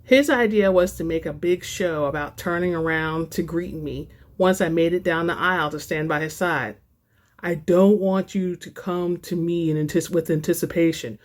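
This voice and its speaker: Low-voiced woman, book channel host. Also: moderate pace. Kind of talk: dramatic reading and casual chat to viewers.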